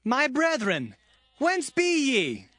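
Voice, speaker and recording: A man's voice: extremely high pitch, huge pitch swings, takes it slowly, sharp tone, heavily acted. An actor playing an earnest young biblical herdsman in a dramatized scripture reading, speaking in a formal, declamatory way. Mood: friendly, inquiring.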